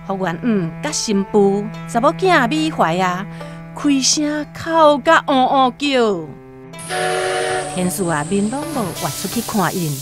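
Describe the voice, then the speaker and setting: Unhurried, animated Taiwanese woman narrator. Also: mid-range voice. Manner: expressive storytelling. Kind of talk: literary radio drama narration.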